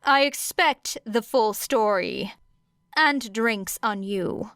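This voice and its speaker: A woman's voice: a little high, slow pace, fairly bright tone, no echo, clean studio sound. A woman voicing a stern, exasperated female referee with a blunt, firm manner in an audiobook story.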